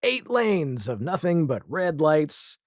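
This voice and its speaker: A man's voice: fairly high pitch, sing-song, takes it slowly, no echo, clean studio sound. A man speaking casually and reflectively as a guest in a conversational podcast interview.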